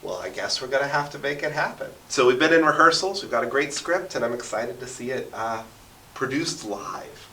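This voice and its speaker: A man's voice: mid-range voice, animated, brisk, sharp tone, slight background noise. A talkative man in his thirties telling stories with enthusiasm and dramatic emphasis as a radio interview guest. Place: basement radio station studio. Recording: roomy echo, distant from the mic.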